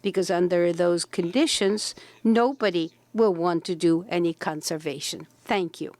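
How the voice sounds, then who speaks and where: A woman delegate making a measured, formal comment in a large conference hall. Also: lowish voice, a little mellow. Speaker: civil society delegate.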